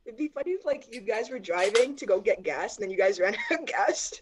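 A woman's voice: mid-range voice, animated, fairly quick, crowd noise. A young woman from Canada chatting casually, joking and laughing often. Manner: giggly, joking, lots of fillers. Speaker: conversation partner. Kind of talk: casual chat.

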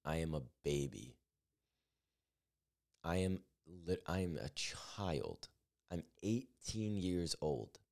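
Very deep-voiced young man, earnest. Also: animated, takes it slowly, creaky, pauses often, a little mellow. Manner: earnest, upbeat, rambling with fillers. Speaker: podcast host. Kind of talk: solo podcast monologue.

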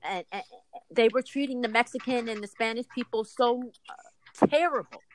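A woman hosting a casual group discussion, emphatic and quick to agree. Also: fairly high pitch, slight background noise, rough recording. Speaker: host.

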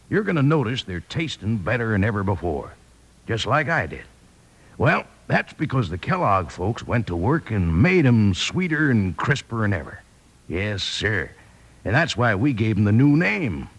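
A man's voice: medium pitch, gravelly, a bit bright, breathy edge. A man delivering a 1950s radio commercial as a folksy, cowboy-style salesman. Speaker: cereal advertisement pitchman.

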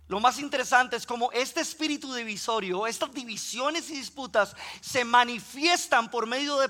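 Costa Rican male guest preacher, high bright voice, sermon delivery that builds in intensity. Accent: Costa Rican Spanish. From Costa Rica. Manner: builds in intensity when preaching, reads Scripture aloud.

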